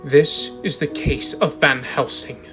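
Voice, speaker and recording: A man's voice: a little high, steady tone, takes it slowly. A man as a solemn horror-tale narrator over music. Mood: solemn, suspenseful. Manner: solemn, formal, suspenseful. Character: grave male narrator presenting evidence of vampire horrors. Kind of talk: scripted radio drama narration.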